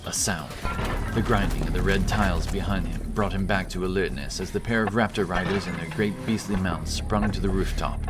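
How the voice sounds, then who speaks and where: A man narrating a fantasy battle story in a dramatic, scripted storytelling style. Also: low-pitched. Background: cinematic action music.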